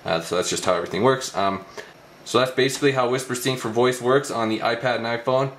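A man's voice: medium pitch, fast talker, a bit bright, a little hiss or hum. A man hosting a casual hands-on tech demo, talking indoors in a room. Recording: roomy, echoey indoor recording.

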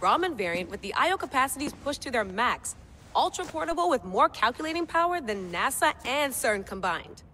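An actress playing a confident, wry young tech-savvy field agent in a video game. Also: female voice, fairly high pitch, pushed and bright, slight background noise. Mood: proud, wry. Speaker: game character, lead. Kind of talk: video game cutscene acting.

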